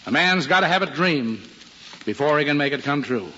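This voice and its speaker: A man's voice: a little high, animated, fairly quick, pushed and bright. A man playing a kindly, teasing middle-aged policeman father with an American accent, in old-time radio drama style. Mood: fatherly, firm. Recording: dull, hall-like vintage radio recording, noisy.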